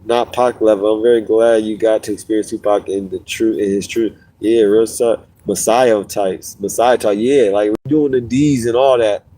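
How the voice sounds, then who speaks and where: A man hosts a casual livestream and speaks straight to his viewers. His voice is warm and mellow, and he is a little stuffy from a cold. He tells stories in loops, repeating and restarting phrases as he goes. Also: fairly low pitch, fairly even intonation, moderate pace, few pauses, poor audio. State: getting over a cold, sniffling. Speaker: podcast host.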